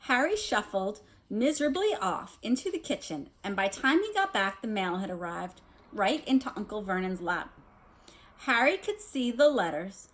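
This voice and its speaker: A woman's voice: mid-range voice, poor audio. A woman reading a children's novel aloud like a teacher, expressively, with casual asides.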